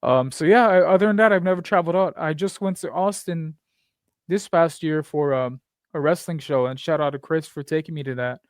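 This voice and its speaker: A young man from near the Texas border rambles through a casual podcast chat, swearing and cracking jokes as he goes. His voice sits a bit higher than most men's. It sounds dry and close, with almost no room echo. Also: fairly quick, smooth. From Texas, near the Mexican border. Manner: profane, joking, rambling storyteller.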